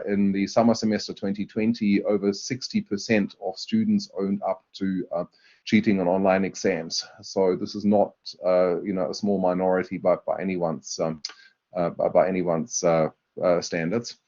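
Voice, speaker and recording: A man with a German accent presenting a university webinar, talking in a halting way with many fillers and restarts. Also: sits low, little pitch movement, a little mellow. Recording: dull lo-fi webinar audio.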